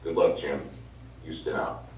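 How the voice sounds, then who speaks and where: A man's voice: a bass voice, flat delivery, measured pace, darkish tone. An actor playing an authoritative, fatherly mission controller sending a message in a stage play. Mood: firm, reassuring. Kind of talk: stage acting, radio message. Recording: dull, very echoey distant stage recording.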